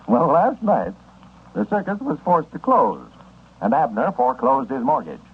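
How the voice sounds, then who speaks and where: Very animated American 1930s radio announcer man. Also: medium pitch, a little slow, husky. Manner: emphatic, folksy salesman delivery. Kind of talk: 1930s radio advertising and scripted narration. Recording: dull, noisy 1930s radio recording.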